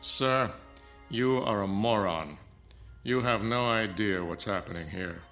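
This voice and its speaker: A man's voice: fairly low pitch, unhurried, fairly bright tone, faint music behind. An actor playing a haughty, defensive male scientist in a radio drama, lecturing with condescension and indignation. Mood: indignant.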